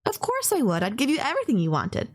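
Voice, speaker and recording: A woman's voice: high voice, wildly swooping pitch, quick pace, breathy edge, heavily acted. Woman acting a polite, obsessively lovestruck young kidnapper. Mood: eager, devoted. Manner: apologetic, earnest, rambling, fussing over politeness. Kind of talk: intimate anime-style roleplay audio acting.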